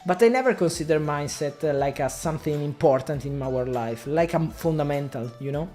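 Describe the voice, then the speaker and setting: Italian man, halting second-language English. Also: fairly high pitch, a little mellow, soft background music. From Italy. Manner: halting non-native English with frequent restarts. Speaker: digital marketing media buyer. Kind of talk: casual talk to camera.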